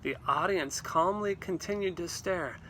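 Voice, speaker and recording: A man reading a storybook aloud to children in an animated, theatrical way, with exclamations and asides. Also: high-pitched, measured pace, a little hiss or hum. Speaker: read-aloud teacher.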